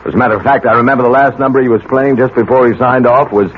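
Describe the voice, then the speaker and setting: An actor playing a mild, educated middle-aged professor in a 1970s radio drama, speaking formally and haltingly. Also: male voice, medium pitch, fairly even intonation, lightly acted. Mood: stiff, defensive. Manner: halting, repeats words, formal diction. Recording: tinny, noisy 1970s radio broadcast recording.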